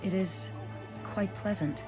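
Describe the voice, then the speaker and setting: A woman's voice: mid-range voice, takes it slowly, close to an everyday voice. A woman voicing a proud, aloof ancient ice spirit in a video game, speaking in a cool, formal and curt way. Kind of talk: video game cutscene voice acting. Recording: music under the voice.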